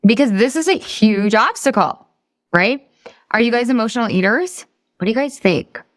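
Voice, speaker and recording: A woman's voice: mid-range voice, a bit bright, dry recording, studio quality. A middle-aged woman from the Boston area, a weight loss coach leading a live session. She talks straight to her group and asks them questions in an upbeat way.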